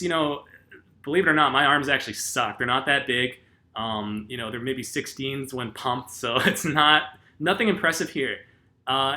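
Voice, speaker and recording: Very bright-voiced casual vlogging man. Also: medium pitch. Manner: rambling, lots of ums and uhs; self-deprecating and laid-back. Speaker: YouTuber answering viewer questions. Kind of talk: casual Q&A vlog talk.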